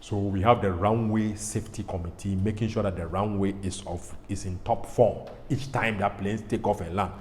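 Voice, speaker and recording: A man's voice: on the low side, steady tone, moderate pace, hardly any pauses. A man with a Ghanaian accent presenting an educational explainer in an emphatic way, repeating his phrases. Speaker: pilot host. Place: indoor studio. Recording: echoey hall-like studio, faint noise.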